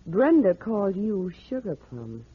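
A woman's voice: mid-range voice, very animated, unhurried, breathy edge. An actress playing a detective's inquisitive, teasing female companion in an old-time radio drama. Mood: curious, teasing. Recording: dull, lo-fi vintage radio recording.

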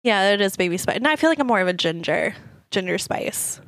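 A woman co-hosting a casual wedding podcast with her husband, joking and laughing often, her voice a bit hoarse and deep. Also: lively intonation, a little fast, fairly bright tone, dry recording. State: slightly hoarse, voice gone deep. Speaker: podcast co-host.

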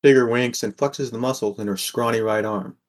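A man's voice: mid-range voice, fairly even intonation, a little fast, natural voice. A man playing a wry, burdened teenage boy who narrates an audio drama in a plain, understated way. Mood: matter-of-fact. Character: sixteen-year-old boy, wry, burdened, caring narrator. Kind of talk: first-person scripted narration, audio fiction.

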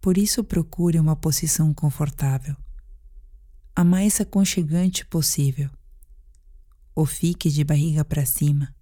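Low, mellow Brazilian woman guiding meditation. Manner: calm, gentle, deliberate. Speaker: guided meditation narrator. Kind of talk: soothing guided meditation narration.

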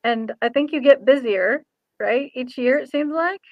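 High-pitched woman hosting a chatty show. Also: moderate pace, no echo, dull audio. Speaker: show host; ordained minister, former pastor. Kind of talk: casual interview chat.

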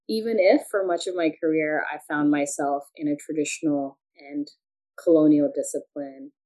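Low-voiced woman academic in her thirties, from Pakistan; measured, articulate interview guest. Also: darkish tone, rough recording. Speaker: guest, English professor.

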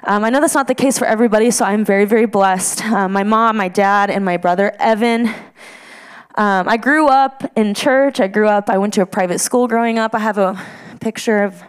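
A woman's voice: mid-range voice, fairly quick, clear, resonant tone. A young woman from Texas, a youth ministry leader, giving a chatty, self-deprecating personal testimony to students with many fillers.

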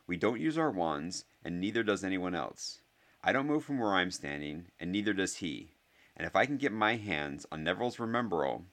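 A man voicing a clever, determined young schoolboy who speaks deliberately in a storybook reading. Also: low-pitched, a bit bright.

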